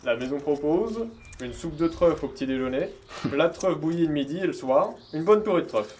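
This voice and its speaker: A male actor as a brisk, sardonic partisan in a radio play. His voice is fairly high, recorded with clear noise. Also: animated, close to an everyday voice. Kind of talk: audio drama acting.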